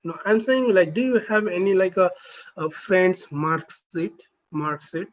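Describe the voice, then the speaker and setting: Man, neurosurgery trainee, high voice, halting questions, lo-fi call sound. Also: animated. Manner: halting, filler-heavy questions. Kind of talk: casual video call chat.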